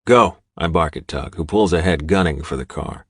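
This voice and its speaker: A man's voice: very deep voice, fairly quick, breathy edge, no room sound, studio quality. A man reading a scripted first-person audiobook novel as its narrator.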